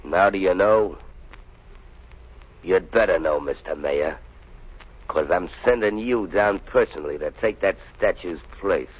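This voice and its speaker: A man's voice: deep voice, a little slow, pauses often. An actor playing a vengeful, hot-headed gangster with crude, slangy tough-guy talk in a 1930s radio crime drama. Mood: menacing, taunting. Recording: muffled vintage 1930s radio recording.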